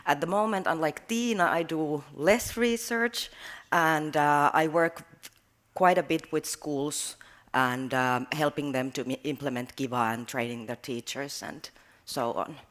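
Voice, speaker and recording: Co-presenter, woman, fast chatty talk at a conference. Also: sits low, a bit bright. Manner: chatty, quick, with fillers. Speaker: researcher and teacher trainer. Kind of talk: workshop presentation. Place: conference room.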